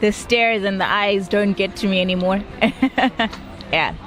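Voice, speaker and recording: A woman's voice: mid-range voice, unhurried, a bit bright, low-quality recording. A young woman being interviewed, speaking casually in a frank, matter-of-fact way. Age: young adult. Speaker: interviewee, foreign student. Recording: outdoor crowd chatter, music behind.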